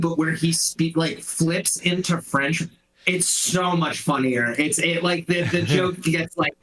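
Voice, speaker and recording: A man's voice: a little high, a bit bright, rough recording. A man playing in a tabletop game who rambles enthusiastically through a side story. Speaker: player. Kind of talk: casual chat.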